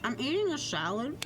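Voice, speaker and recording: A woman's voice: sits high, poor audio. A woman livestreamer chatting casually and reading out chat, playful, theatrical and sweary, with lots of slang. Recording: background music under voice. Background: music.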